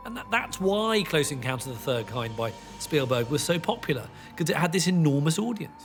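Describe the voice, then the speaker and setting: Documentary commentator: man, fairly high voice, informal, faint music behind. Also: sing-song, brisk. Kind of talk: conversational interview.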